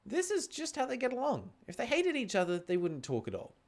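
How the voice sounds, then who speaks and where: A man streaming a game, chatty and expressive as he comments and reads the text aloud. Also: very high voice, brisk. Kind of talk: livestream chat and read-aloud game commentary. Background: game music.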